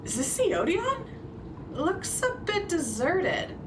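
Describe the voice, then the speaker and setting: A woman's voice: very high-pitched, very animated, slow, far from the mic, very noisy, full character voice. A woman playing a blunt, caring ally in a tabletop roleplay, speaking expressively.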